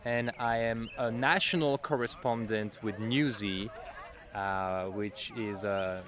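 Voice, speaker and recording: A man from Belgium with a Belgian French accent, a TV correspondent chatting in an interview with quick bursts and self-corrections. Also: lowish voice, steady tone. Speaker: national TV correspondent. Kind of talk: casual interview answers. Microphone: handheld interviewer mic.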